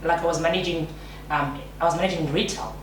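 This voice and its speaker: A woman from South Africa, a teacher, chatting casually in an interview with a lively manner and frequent laughs. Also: low voice, fast talker. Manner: lively, laughs often, animated when joking. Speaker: guest, teacher in China. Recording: echoey room, distant mic, faint noise.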